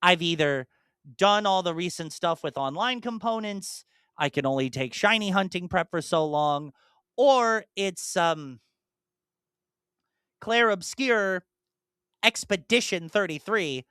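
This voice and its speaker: A man co-hosting a casual podcast, rambling with lots of fillers and restarts, slipping into animated, mock-exasperated asides. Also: high voice, slow, clear, resonant tone, no echo, studio quality. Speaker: podcast co-host and streamer.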